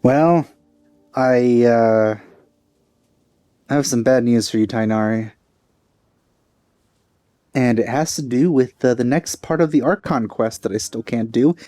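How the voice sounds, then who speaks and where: A man's voice: mid-range voice, measured pace, long pauses, dark tone, no room sound. A man giving casual let's play commentary in a rambling, hesitant way, often correcting himself, close to the microphone.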